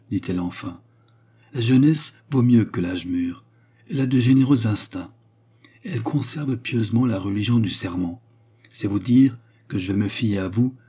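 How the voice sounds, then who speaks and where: A man's voice: on the low side, mellow, breathy edge. A man voicing a repentant former courtesan in storybook dialogue, solemn, secretive and commanding, with formal, emphatic delivery.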